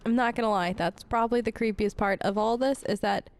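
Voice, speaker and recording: A woman hosting a role-play let's play, chatty and expressive in her gameplay commentary. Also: on the high side, fairly quick.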